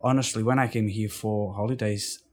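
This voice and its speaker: Portuguese-accented male guest, lowish voice, speaks haltingly with many restarts. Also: steady tone, a little mellow, a little hiss or hum. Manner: halting, with frequent restarts and fillers. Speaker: interview guest. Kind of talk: casual storytelling.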